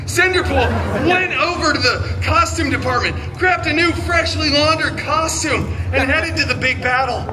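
A man's voice: extremely high pitch, moderate pace, few pauses, background noise. A man playing a sarcastic, wisecracking costumed superhero telling a mock fairy tale with campy drama at a live show. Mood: campy, wry. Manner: campy, sarcastic, exaggerated dramatic delivery with asides. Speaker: theme park show performer. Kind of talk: theatrical live storytelling to an audience. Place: outdoor theme park stage, filmed from the audience. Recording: loud music and crowd, outdoor live show.

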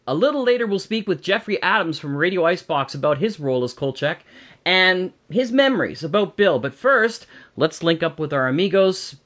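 A man's voice: high-pitched, lively intonation, normal speed, a bit bright. A man, hosting a podcast about audio drama. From Canada. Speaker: podcast host.

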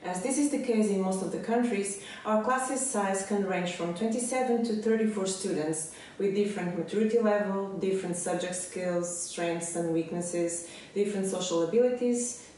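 A woman from Portugal, a maths teacher, reading a scripted presentation in a measured, deliberate way. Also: mid-range voice, mellow, slight background noise. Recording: very echoey room, distant mic, faint ambience.

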